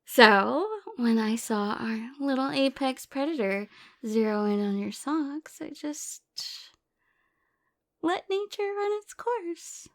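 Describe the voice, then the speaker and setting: A woman's voice: high-pitched, animated, slow pace, exaggerated voice. A woman playing a playful, teasing, affectionate girlfriend in a casual, intimate audio roleplay. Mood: teasing, amused.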